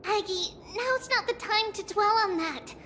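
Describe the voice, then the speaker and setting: A woman voicing a cheerful, caring young girl in an anime-style game, expressive and earnest, with exclamations. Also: very high-pitched, a little slow, a little hiss or hum, heavily acted. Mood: concerned. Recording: background game music.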